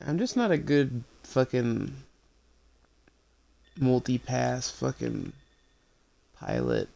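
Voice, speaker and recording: A man's voice: mid-range voice, huge pitch swings, slow pace, creaky, warm tone. A man doing casual live gameplay commentary, candid, self-deprecating and sweary. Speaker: game streamer.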